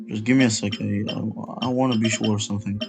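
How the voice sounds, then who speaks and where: A man's voice: lowish voice, steady tone, fast, vocal fry. A man giving informal, blunt commentary while playing a video game. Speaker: let's play gamer. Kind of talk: casual gameplay commentary. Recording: game music behind voice. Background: video game music.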